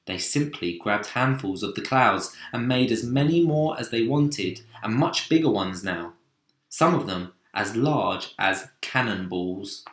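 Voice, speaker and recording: Slow, animated man reading a story. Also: lowish voice. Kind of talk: expressive children's storybook narration. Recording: roomy, echoing sound.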